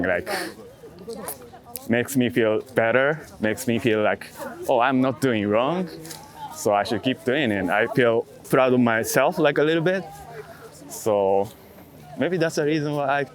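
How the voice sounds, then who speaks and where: Halting man, reflective and casual. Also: medium pitch, expressive. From outside the US. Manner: halting, hesitant phrasing in short fragments; non-native English phrasing. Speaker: street photographer being interviewed.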